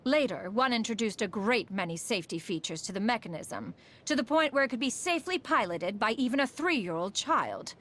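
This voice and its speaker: A woman's voice: on the high side, lively intonation, a little fast, few pauses, fairly bright tone. A woman playing a proud, refined female immortal in a video game, speaking in a formal, stately manner, aloof yet fond beneath it. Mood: wry reminiscence. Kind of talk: scripted video game character monologue.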